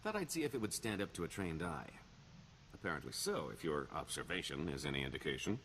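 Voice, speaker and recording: A deep, animated, sly con artist. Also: male voice, fast, a little hiss or hum, exaggerated voice. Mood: smug, wry. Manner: wheedling salesman patter, wry. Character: smooth-talking male con-artist schemer, sly and self-assured. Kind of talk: video game dialogue acting.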